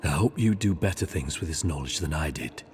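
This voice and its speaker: A man's voice: rumbling low, lively intonation, brisk, darkish tone, a little hiss or hum. A man playing a solemn, honorable assassin and devout zealot in a video game, speaking with grave, formal earnestness. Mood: grave, earnest. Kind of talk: dramatic video game dialogue. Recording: game music behind the voice. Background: music.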